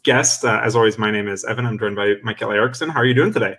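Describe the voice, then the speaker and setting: A man's voice: on the low side, quick pace, a bit bright, slightly rough. A young adult man hosting a live-streamed interview webinar over a video call, enthusiastic and full of fillers and restarts. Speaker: webinar host.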